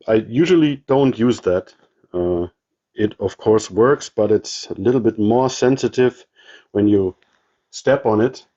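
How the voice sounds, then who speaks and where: Hobbyist man, German accent, halting show-and-tell delivery, darkish tone. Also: mid-range voice, darkish tone, dull audio. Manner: halting, with many ums and restarts. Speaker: ham radio hobbyist showing his gear. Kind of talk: casual show-and-tell walkthrough.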